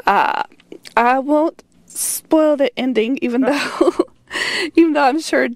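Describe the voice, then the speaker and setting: A woman's voice: high voice, slow pace. Giggly stuffed-up woman leaving a voicemail. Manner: giggly, rambling with many fillers. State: stuffed up with a head cold. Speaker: voicemail caller. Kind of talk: casual voicemail message.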